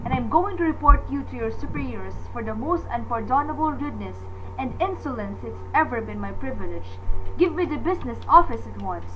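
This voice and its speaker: High female voice, actress acting, panicky demanding wife for radio suspense, melodramatic delivery, muffled lo-fi sound. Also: animated, fairly quick, music in the background, lightly acted. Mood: haughty, outraged. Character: nervous, imperious married woman spiralling into panic. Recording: dull lo-fi recording with faint noise.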